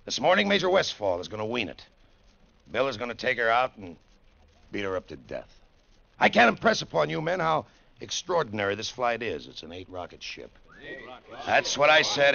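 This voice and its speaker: An actor playing an authoritative Air Force colonel in an old-time radio drama, briefing with clipped, emphatic repeats. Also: male voice, mid-range voice, gravelly, sharp tone, full character voice. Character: gruff commanding middle-aged Air Force colonel, test-flight boss. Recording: muffled vintage radio recording.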